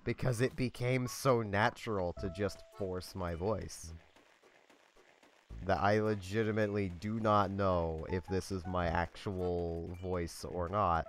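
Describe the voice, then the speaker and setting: A young man hosting a let's play, talking in a monotone, low-key, rambling way with a slightly scratchy voice. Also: slow. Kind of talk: casual gameplay commentary.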